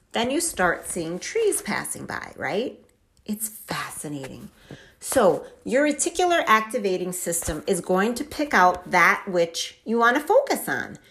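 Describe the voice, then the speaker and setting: A woman hosts her own podcast, talking in a casual, enthusiastic way. Her pitch is very animated and leaps around as she makes her points, and she keeps checking in with "right?". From Wisconsin. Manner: chatty, enthusiastic, lots of fillers like "right?" and "like". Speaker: podcast host. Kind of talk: casual motivational talk.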